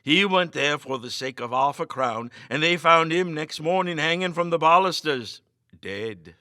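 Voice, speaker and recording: A man's voice: on the high side, animated, unhurried, pushed and bright, dry recording, clean studio sound, voice pushed up. A man voicing a poor, indulgent country innkeeper with rustic, foreboding speech in a storybook reading. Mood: grim warning.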